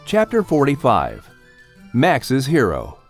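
A man narrating an audiobook in a measured, expressive, suspenseful storytelling style. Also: medium pitch, soft background music. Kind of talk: dramatic scripted story narration.

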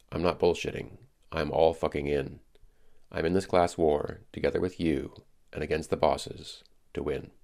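A middle-aged man reading scripted podcast narration in an earnest, deliberate way. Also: low voice, vocal fry, a little mellow. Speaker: podcast host.

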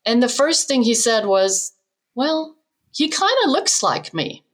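A woman's voice: fairly high pitch, sing-song, a little slow. A middle-aged woman from Denmark, a psychologist explaining things and telling anecdotes as an interview guest. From Denmark, moved to the US in her twenties. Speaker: expert guest, developmental psychologist.

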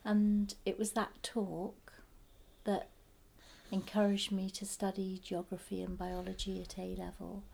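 A woman with a breathy, partly whispered voice recalling how she first became aware of the environment. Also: medium pitch, completely flat delivery, a little slow, a little mellow, a little hiss or hum. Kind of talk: personal recollection.

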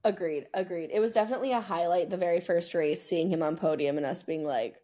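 A woman with a slightly low, very mellow voice hosts a casual sports podcast. She chats loosely and informally, with a playful, self-mocking streak. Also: quick pace. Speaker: podcast host. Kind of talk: casual sports podcast chat, season recap.

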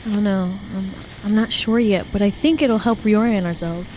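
A woman's voice: medium pitch, normal speed, darkish tone, slight background noise, close to an everyday voice, poor audio. A woman playing a suspicious, headstrong young hiker with an earnest, urgent delivery in a naturalistic audio drama. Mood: uneasy, musing. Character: suspicious, headstrong young woman lost camping, haunted by lake.

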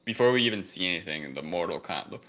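A man's voice: sits low, expressive, fast talker, pushed and bright. A man co-hosting a podcast, talking about movies in a casual, conversational way. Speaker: podcast co-host. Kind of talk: casual chat, movie review.

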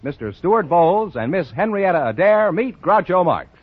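A man's voice: sits high, very animated, normal speed, breathy edge, no room sound, narrow, tinny audio. An American man announcing an old-time radio show, reading scripted sponsor copy in a broadcast studio on a dated recording. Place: radio studio before an audience.

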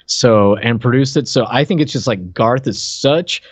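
A man's voice: medium pitch, moderate pace, husky, no room sound. A man co-hosting a podcast, casually commenting on a TV comedy in an amused, explanatory way. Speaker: podcast co-host.

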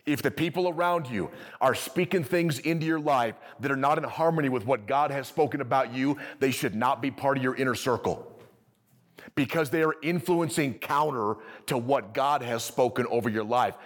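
A man's voice: mid-range voice, moderate pace. Guy pastor giving a sermon, upbeat and talky, keeps asking the crowd stuff. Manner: energetic, conversational, quizzes the audience. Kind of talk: sermon to a live congregation. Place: church service with audience.

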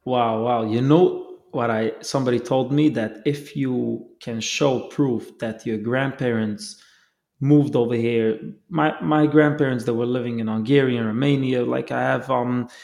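A man's voice: mid-range voice, steady tone, a little slow, gravelly, a little mellow. A man hosting an interview, talking in an earnest, reflective way and often searching for his words. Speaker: host. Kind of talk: casual conversation.

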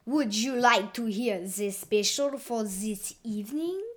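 A woman voicing a short, round, polite and eager mushroom waiter in a storybook, with an Italian accent and a showy, drawn-out delivery. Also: a little high. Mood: courteous.

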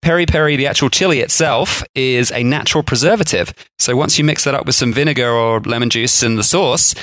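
A man of ordinary pitch talks through food history in a loose, chatty podcast manner. He laughs easily at his own attempts at accents. His voice sits close and dry on the mic, with no room around it. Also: brisk, fairly bright tone, dry recording. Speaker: podcast co-host. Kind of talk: casual food history chat.

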